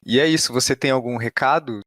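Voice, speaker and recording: A man's voice: mid-range voice, a little fast, fairly bright tone, dry recording. A Brazilian man hosting a podcast interview in an informal, conversational way with tentative phrasing. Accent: Brazilian Portuguese. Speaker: podcast host.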